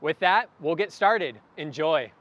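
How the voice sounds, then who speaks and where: A man's voice: on the high side, animated, measured pace, muffled recording, very noisy. A man hosting a virtual event, reading a welcoming scripted introduction. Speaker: event host. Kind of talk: scripted announcement.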